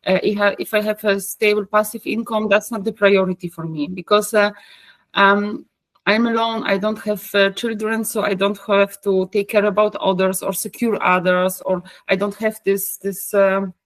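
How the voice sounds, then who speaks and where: A woman with a Polish accent talks through her plans in a group coaching call, hesitating, restarting and filling pauses as she searches for words in her third language. Her voice sits in a middle pitch, and the sound is close and dry, with no room echo. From Poland. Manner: hesitant, many fillers and restarts. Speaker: coaching course participant. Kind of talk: group video call discussion.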